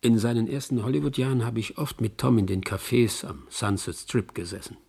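Husky, gravelly wry reminiscing man. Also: medium pitch, a little fast, darkish tone. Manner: reminiscing, dryly ironic. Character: worldly male acquaintance giving wry, gossipy recollections. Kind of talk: radio drama monologue in interview manner.

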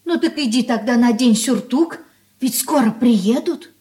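An actress playing an elderly merchant's wife in a Soviet radio play, caring and fussing, with homely colloquial speech. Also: female voice, fairly high pitch, measured pace, warm tone, natural voice. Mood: fussing concern.

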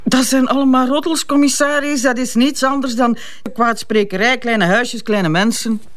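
A woman's voice: on the high side, steady tone, normal speed, natural voice. Middle-aged Flemish wife, acted by a woman: worn-out, sardonic, frank and unabashed, for radio-play dialogue. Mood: scornful. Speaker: actor. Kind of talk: audio drama dialogue.

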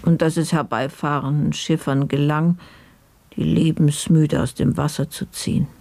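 An actor in a German radio play giving a dry official report in a formal, bureaucratic manner. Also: male voice, on the high side, level tone, moderate pace, vocal fry, darkish tone, no echo.

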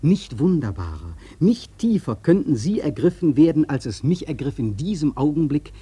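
A man's voice: on the high side, sing-song, normal speed, dark tone, breathy edge, no room sound, exaggerated voice. An actor playing a whaleman who narrates a radio play in an intense, evocative literary storytelling style. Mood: awestruck. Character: awestruck young whaleman sailor recalling the hunt.

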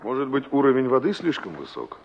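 An actor playing a reflective, nostalgic young engineer in a Soviet radio drama, probing and expressive in his delivery. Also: medium pitch, brisk. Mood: curious. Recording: muffled, noisy old radio drama recording.

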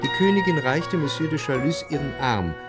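An actor playing a reflective first-person narrator in scripted literary radio narration. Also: male voice, fairly high pitch, lively intonation, brisk, loud music behind the voice, lightly acted.

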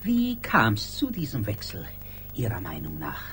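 An actor playing an excitable, sceptical office colleague in a German radio play. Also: male voice, lowish voice, unhurried, breathy edge, full character voice. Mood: sceptical, needling.

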